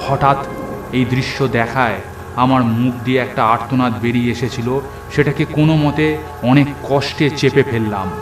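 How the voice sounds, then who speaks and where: A man's voice: mid-range voice, fairly even intonation, moderate pace. A man narrating a first-person horror story in a dramatic storytelling style. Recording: echoey narration over music and rain.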